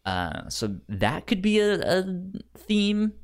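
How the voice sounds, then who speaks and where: A man casually discussing a movie as a podcast host, with halting speech and stuttered, repeated sounds and words. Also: high voice, sing-song, clear, resonant tone.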